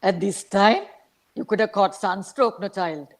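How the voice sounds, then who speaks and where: A woman's voice: medium pitch, a little slow, natural voice. A woman playing a concerned, mildly scolding mother in a dramatic reading, calling out as she fusses. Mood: fussing, mildly scolding.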